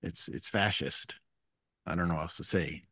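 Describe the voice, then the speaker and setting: Man panelist, lowish voice, dry close sound, blunt and frank. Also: normal speed, fairly bright tone, slightly rough, dry recording. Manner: frank, blunt, dropping low. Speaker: panel speaker. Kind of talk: answering an audience question. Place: conference room panel table.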